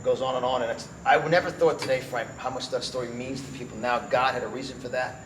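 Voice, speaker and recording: A middle-aged man with a New York accent talks rapidly and emphatically, like a motivational speaker promoting an event. He repeats phrases to drive them home. The room is echoey, with clear noise behind him. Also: mid-range voice, steady tone, fairly bright tone. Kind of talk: promotional interview talk with personal storytelling. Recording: roomy echo, clear background noise.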